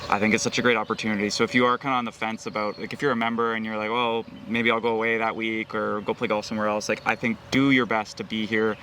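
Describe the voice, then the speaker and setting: Brief: Canadian male host, low voice, relaxed and chatty, traffic noise behind him. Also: fast talker, hardly any pauses. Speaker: host, golf club pro. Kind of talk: casual interview chat.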